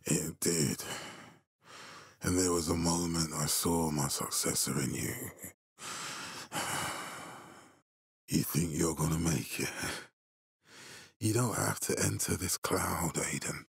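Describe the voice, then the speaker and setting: An actor playing a menacing middle-aged military leader in a video game, authoritative, curt and measured. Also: male voice, low-pitched, vocal fry, slightly rough, no room sound, clean studio sound, heavily acted. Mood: grave, ominous. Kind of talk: dramatic video game voice acting.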